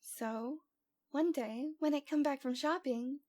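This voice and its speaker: A woman's voice: sits high, unhurried, a little mellow. A woman playing a cute, lovesick young stalker girl in an ASMR roleplay, with a coy, pouty, dramatic delivery. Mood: wistful, embarrassed.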